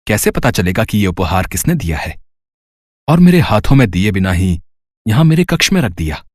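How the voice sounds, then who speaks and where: A man playing a deep-voiced earnest young prince. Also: sing-song, moderate pace, dry recording, clean studio sound. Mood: puzzled. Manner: wondering, earnest.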